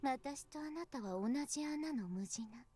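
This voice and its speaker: A woman playing a possessive, coolly amused young woman in a visual novel, teasing with soft, breathy whispers. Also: sits high, animated, fairly quick, faint music behind. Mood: taunting, possessive. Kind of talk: Japanese visual novel voice acting.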